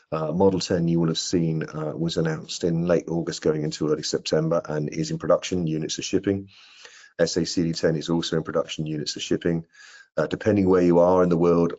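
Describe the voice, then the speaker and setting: A middle-aged man with a husky voice giving a product training presentation on a video call and taking questions. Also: extremely low pitch, a little mellow. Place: indoor room on a video call. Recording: dull, lo-fi video call audio.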